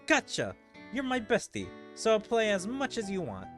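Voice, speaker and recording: A brash, playful girl demon lord from an anime game, put on by a male player. The voice bounces widely in pitch and sounds devoted and bossy. Light game music runs behind it. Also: wildly swooping pitch, normal speed. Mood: eager. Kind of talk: amateur read-aloud of anime game dialogue.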